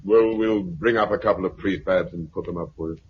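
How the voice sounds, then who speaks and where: A man playing a smug, authoritarian commander in a 1950s radio drama, brisk, officious and condescending. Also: low voice, steady tone, natural voice. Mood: officious, cold. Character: smug authoritarian military commander of a post-apocalyptic regime. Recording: dull, noisy 1950s radio recording.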